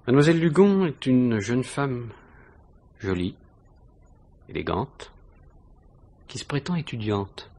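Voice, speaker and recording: Husky, insinuating police inspector, lively intonation. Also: male voice, on the low side, full character voice. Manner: feigned casualness, hesitations, insinuating questions. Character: probing, ironic male police inspector, calmly insistent. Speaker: radio drama actor. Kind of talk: 1950s French radio mystery acting.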